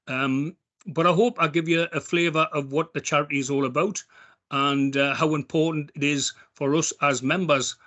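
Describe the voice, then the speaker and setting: A Geordie man speaking from the heart at an online charity talk. His voice sits a little high and sounds bright and forward, and he stops often to restart with ums and uhs. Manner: halting, with many ums and restarts. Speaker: member of a veterans' charity speaking at an online event. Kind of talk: heartfelt spoken appeal.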